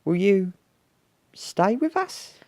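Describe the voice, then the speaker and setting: A narrator voicing a small, polite, squeaky animal in a storybook. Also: sing-song, slow, a little mellow. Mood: hesitant.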